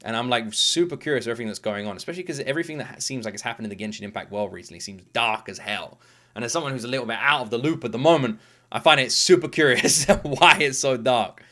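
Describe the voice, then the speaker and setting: A man's voice: medium pitch, quick pace, sharp tone. A man hosting a reaction video, talking casually and emphatically with informal, sweary enthusiasm.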